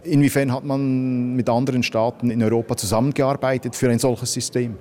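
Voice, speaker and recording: Mid-pitched male news interviewer. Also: level tone, a little fast, dark tone. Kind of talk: prepared interview questions.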